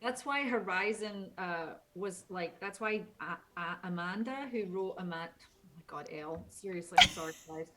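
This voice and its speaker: Bright, bubbly woman voice actor. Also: on the low side, normal speed, hardly any pauses, rough recording. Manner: bubbly, rambling, laughs at herself. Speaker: voice actor guest. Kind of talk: casual video-call chat.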